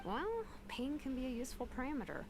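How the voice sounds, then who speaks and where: A woman's voice: high voice, sing-song, fast talker, noisy background. A woman playing a casual, brainy, slightly weary young female inventor in a video game, explaining things breezily. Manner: breezy, hesitant asides, technical explaining.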